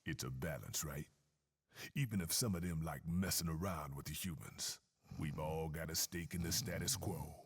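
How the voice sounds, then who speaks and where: An actor playing a cool, sardonic American bartender and weapon maker, slangy and knowing, with a very deep voice in a video game. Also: male voice, normal speed, slightly rough, heavily acted. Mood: ominous. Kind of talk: video game cutscene acting.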